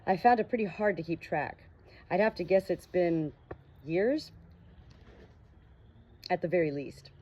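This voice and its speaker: A shy ghost girl with no memory voiced by a woman narrator for an audiobook, frank and wry yet hesitant. Her voice is slightly low, and the recording is a bit muffled. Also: expressive, measured pace, pauses often, a little mellow, breathy edge. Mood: frank, unsure.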